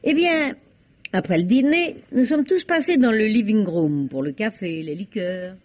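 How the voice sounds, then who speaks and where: A woman's voice: mid-range voice, expressive, a little slow. An actress playing a shrewd, gossipy society lady with an arch, cultured delivery in a vintage French radio mystery. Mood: composed, arch. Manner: arch, ironic, articulate. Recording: dull vintage radio recording.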